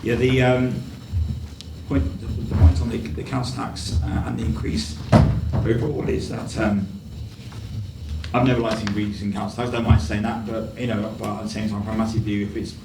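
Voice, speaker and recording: A hoarse man on the committee speaks in a hesitant, conversational way, clearing his throat often. His voice sits a little low. He is picked up from away from the mic in a roomy chamber, with noise in the background. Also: level tone, moderate pace, far from the mic. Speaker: councillor on the committee. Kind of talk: formal committee meeting speech. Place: council meeting room.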